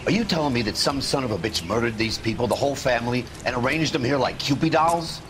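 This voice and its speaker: An actor playing a blunt, bitter, middle-aged American police detective in a dramatic video game. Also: male voice, medium pitch, quick pace, background noise, natural voice. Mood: angry, confrontational. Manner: blunt, bitter, tough-talking. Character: gruff, world-weary, profane veteran male police detective.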